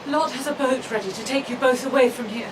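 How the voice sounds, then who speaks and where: An actress playing an urgent, protective and frightened sister in a radio drama, speaking with earnest pleading. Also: female voice, high voice, brisk, close to an everyday voice. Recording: noisy ambience behind the voice.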